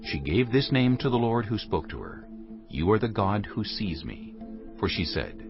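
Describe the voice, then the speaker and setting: A very low-voiced man narrates scripture with animated intonation. His storytelling is measured and solemn. The sound is slightly dull. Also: vocal fry, music in the background.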